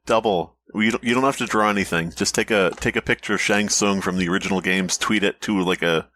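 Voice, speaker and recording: A man's voice: fairly low pitch, fairly quick, sharp tone, a little hiss or hum. A man co-hosting a podcast with casual comedic banter, riffing wryly. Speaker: podcast host.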